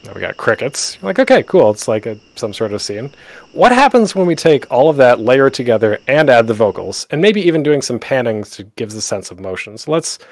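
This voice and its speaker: A man's voice: medium pitch, very animated, brisk. A man hosting an instructional talk in a casual, rambling way, often interrupting himself. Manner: informal, rambling, self-interrupting. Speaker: panel host teaching audio drama production. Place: close, dry home recording for an online panel.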